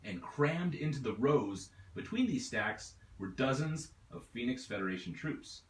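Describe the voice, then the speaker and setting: A man reading his own novel aloud in steady, measured audiobook narration. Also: mid-range voice, roomy sound.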